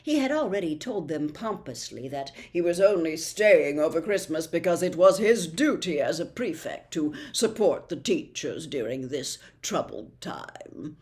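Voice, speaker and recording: A woman reading a storybook aloud as narrator, in an expressive storytelling style. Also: deep voice, unhurried, few pauses. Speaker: audiobook reader.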